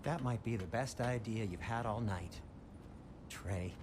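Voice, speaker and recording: A man's voice: lowish voice, a little slow, background noise, close to an everyday voice. An actor playing an anxious but kindly, fussy male dwarf in a video game cutscene. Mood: wry, encouraging.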